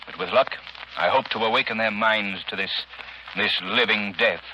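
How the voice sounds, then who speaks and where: An actor playing a resolute, grave avenger with an authoritative, exhorting manner in 1930s radio drama style. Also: male voice, fairly low pitch, moderate pace, natural voice. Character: resolute, commanding crime-fighting avenger, grave and urging. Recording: noisy, hissy 1930s radio recording.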